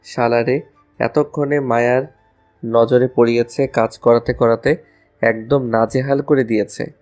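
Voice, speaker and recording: A man's voice: mid-range voice, fairly even intonation, unhurried, a little mellow, soft background music, close to an everyday voice. A man playing a cheeky, lovestruck college student with a flippant, teasing way of talking in an audio love story. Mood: grumbling.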